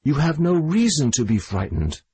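A man's voice: fairly high pitch, very animated, dark tone, slightly rough, dry recording, voice pushed up, full character voice. A man voicing a stern middle-aged doctor who questions a suspect in a story reading. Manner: stern, measured.

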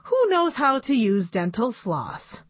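A woman's voice: fairly high pitch, wildly swooping pitch, slow, breathy edge, no room sound, voice pushed up, exaggerated voice. A woman reading a children's story, playing a blunt, self-interested woman dentist with a matter-of-fact manner. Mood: inquiring.

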